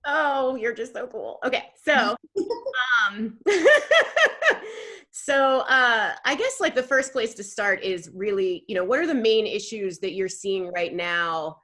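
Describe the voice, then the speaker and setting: An upbeat podcast host, a woman with a bright, forward tone. She chats informally over a video call, giggly and easygoing. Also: a little high, sing-song, slow.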